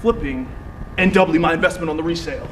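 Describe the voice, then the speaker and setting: A man's voice: fairly high pitch, fast talker, close to an everyday voice. An actor playing a cocky, ambitious young advertising man in a stage play, smug and self-assured. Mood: boastful. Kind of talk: live stage play acting. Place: theatre stage, recorded from far off. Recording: distant roomy theatre recording, faint noise.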